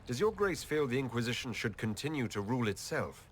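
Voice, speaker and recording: A man's voice: mid-range voice, big pitch swings, a little fast, slight background noise, lightly acted. A man playing an earnest, composed elf leader in a video game, direct and probing. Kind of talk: video game cutscene acting.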